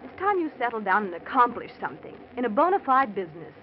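An actress playing a prim, practical wealthy wife in a 1940s radio drama, earnest and disapproving. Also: female voice, on the high side, very animated, fast. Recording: noisy muffled old radio recording.

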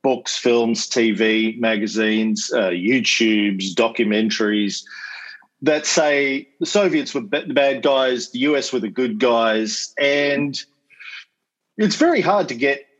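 A man's voice: medium pitch, slow, a bit bright. A man with an Australian accent giving a casual lecture over a video call as a podcast host, chatty and full of ums and uhs, with wry asides. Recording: slightly dull video-call audio.

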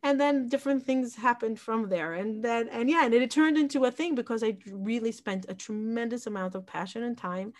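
A woman's voice: fairly high pitch, brisk, few pauses. A woman in her forties who grew up in Israel, a podcast guest talking reflectively about her community and upbringing, often restarting her sentences. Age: 40s. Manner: reflective, with frequent restarts and fillers. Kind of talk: casual interview conversation.

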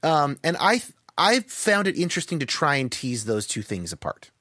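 A man with a somewhat higher-pitched voice, talking thoughtfully in a podcast conversation and hedging with lots of "like" fillers. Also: sing-song, normal speed, sharp tone, no echo, clean studio sound. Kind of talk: casual conversation.

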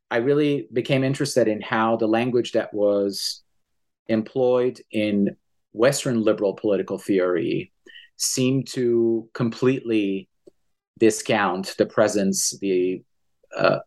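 Lowish-voiced scholarly man from Iran. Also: slow, a little mellow. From Iran, raised in Tehran until fifteen, then Canada. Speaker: academic guest, international studies professor. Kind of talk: explanatory interview talk.

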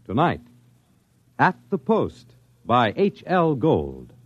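A man announces in grand 1950s radio style, measured and portentous. His mid-pitched voice rises and falls dramatically. The recording is dull and vintage, with prominent music underneath. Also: wildly swooping pitch, husky.

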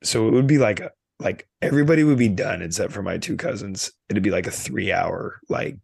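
A man's voice: lowish voice, fairly quick, creaky, darkish tone, husky, no room sound, studio quality. A young man co-hosting a podcast, telling a rambling story full of fillers and restarts while he loses his voice. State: losing his voice. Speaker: podcast co-host. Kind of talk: casual chat.

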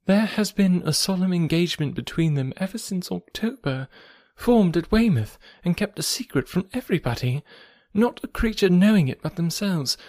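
A reader voicing a nervous middle-aged woman with a trembling, hushed voice in an audiobook. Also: medium pitch, expressive, normal speed, slightly rough, pitched above the performer's own voice. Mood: anxious. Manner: hesitant, hushed, half-whispered. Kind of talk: audiobook character voice.

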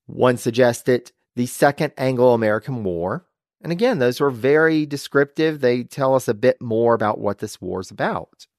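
An upbeat man hosts a casual history podcast, chuckling often as he talks. His voice sits at a middle pitch. The recording is dry and close. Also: animated, moderate pace, a little mellow, no room sound. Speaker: podcast host.

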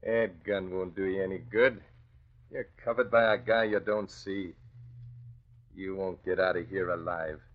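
An actor playing a callous, scheming nightclub gangster boss in a 1940s radio crime drama, talking in tough underworld slang. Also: male voice, sits low, steady tone, a little slow. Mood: smug menace. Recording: dull old radio recording.

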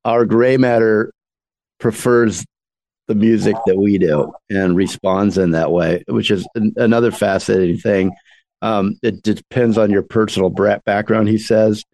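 Male radio interviewer, dark mellow tone, dry close sound, long rambling questions. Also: fairly low pitch, steady tone, measured pace, no echo, poor audio.

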